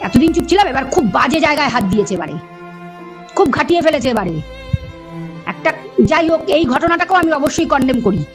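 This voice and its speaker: A woman's voice: high voice, lively intonation, quick pace, long pauses. A woman speaking defensively and insistently in a heated phone conversation. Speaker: animal rescuer. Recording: phone call recording with music underneath.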